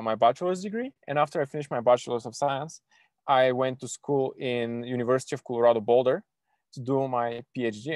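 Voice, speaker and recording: A young man teaches astrophysics to children over a video call, stumbling through restarts and fillers as he goes. His voice sits at a middle pitch and sounds dry and close, with no room around it. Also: dry recording. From Bulgaria. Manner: halting, many restarts and fillers, eager. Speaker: astrophysics PhD student giving an online talk. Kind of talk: informal online science lesson for kids.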